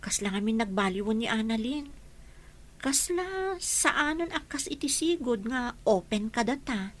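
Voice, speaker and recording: A woman's voice: a little high, lively intonation, unhurried. An actress playing a nosy young female coworker, chatty and inquisitive in a radio drama. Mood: curious.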